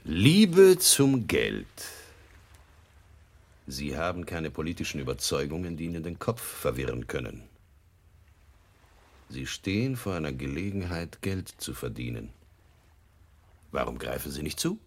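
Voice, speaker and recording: An actor playing a menacing, cynical man in a radio play, husky, insinuating and condescending as he probes. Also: extremely low pitch, very animated, unhurried, long pauses, exaggerated voice. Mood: sly, pressing.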